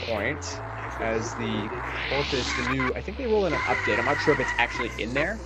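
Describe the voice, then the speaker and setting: A man's voice: medium pitch, brisk, very noisy. A man voice actor being interviewed, chatting casually in a playful, wry way.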